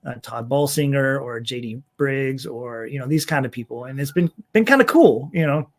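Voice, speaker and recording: A man chatting informally as an interview guest, rambling a little and modest about himself, with a slightly high voice for a man. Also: animated, normal speed. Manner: self-deprecating, rambling, informal. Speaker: podcast guest, church executive minister and author. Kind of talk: casual conversational interview.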